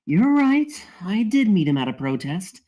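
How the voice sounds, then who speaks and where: A meddling, motherly woman in her late forties voiced by an actress at a table read. Her husky voice swings widely in pitch. She is sharp-tongued and nags pointedly. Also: sing-song, moderate pace. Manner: pointed, nagging. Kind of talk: live screenplay table read.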